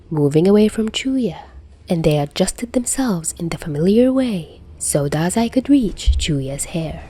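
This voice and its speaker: A woman reading a story aloud as narrator in an expressive scripted style. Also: on the low side, husky. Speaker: fan fiction narrator.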